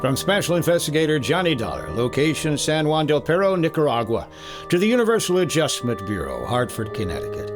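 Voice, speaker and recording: An American man playing a brisk, wry insurance investigator in a 1950s-style detective radio drama, with a clipped, dry delivery. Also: a little high, sing-song, music in the background. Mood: dry, matter-of-fact. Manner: clipped, dry, hard-boiled. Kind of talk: 1950s detective radio drama acting, first-person case narration.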